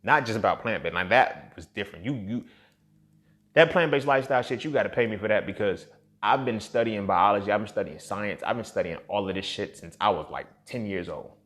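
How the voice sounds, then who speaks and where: A man's voice: on the low side, fairly quick, fairly bright tone. A thirty-year-old man giving a casual, unscripted podcast monologue in a blunt, slangy, heavily profane and emphatic way. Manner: emphatic, with rhetorical questions and check-ins. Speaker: podcast host and entrepreneur.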